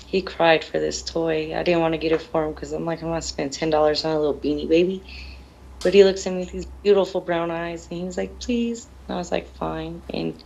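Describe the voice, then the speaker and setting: Low-pitched grieving woman, gravelly voice. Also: steady tone, fairly quick, darkish tone, dull audio, slight background noise. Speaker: interviewee, grieving mother. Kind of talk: emotional television interview.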